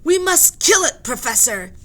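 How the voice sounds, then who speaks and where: A woman's voice: high voice, big pitch swings, moderate pace, sharp tone, voice pushed up, heavily acted. A woman reading aloud voices a stern, frightened male ship captain who barks orders. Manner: barking orders.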